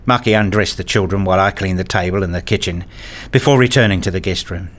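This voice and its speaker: A man's voice: low voice, fast, a bit bright. A man playing a weary, hurt father who narrates his own story in a radio play. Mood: sombre, measured. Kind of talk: first-person radio play narration and acting.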